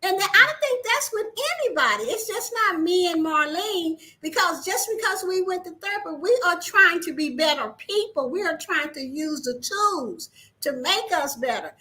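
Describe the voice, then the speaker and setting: High bright-voiced woman, laughing and teasing. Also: moderate pace. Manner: laughing, teasing, animated storyteller. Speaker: guest, business owner. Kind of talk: casual chat.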